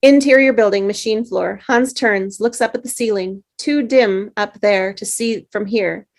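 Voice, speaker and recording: A woman reading the stage directions at a table read, in plain, steady narration. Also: medium pitch, a little slow.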